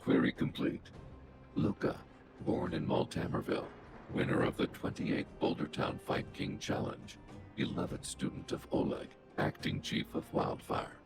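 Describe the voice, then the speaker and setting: An actor voicing a huge, calm underworld robot boss who speaks in flat, clipped, computer-like reports through a robotic filter. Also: male voice, a little slow, poor audio. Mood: flat computing. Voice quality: deep, gravelly, processed. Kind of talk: studio video game voice acting. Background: game music.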